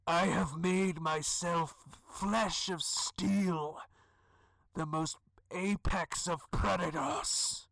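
A menacing construct of living steel in a tabletop game. It speaks extremely slowly in a high, gravelly voice, making grandiose villainous threats. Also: male voice, fairly even intonation, a bit bright, no room sound, full character voice, rough recording. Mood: menacing, haughty. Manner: slow, grandiose, theatrical threats. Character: menacing steel golem predator, ancient villain speaking into minds.

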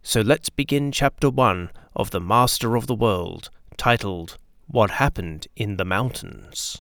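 A man's voice: fairly low pitch, a little slow, a bit bright. A man hosting a radio drama show, reading a polished, promotional scripted introduction. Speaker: host and narrator. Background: music.

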